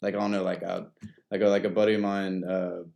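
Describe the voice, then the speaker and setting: A man's voice: low voice, little pitch movement, quick pace, vocal fry, darkish tone. A young man hosting a podcast, chatting casually in a rambling way, full of restarts. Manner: rambling, many restarts and fillers. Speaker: podcast host. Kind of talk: casual chat.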